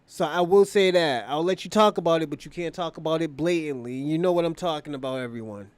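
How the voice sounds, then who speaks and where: A man's voice: a little high, fast talker, clear, resonant tone, dry recording. A man hosting a casual livestream, talking off the cuff in an opinionated, rambling way and repeating phrases. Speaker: live stream show host.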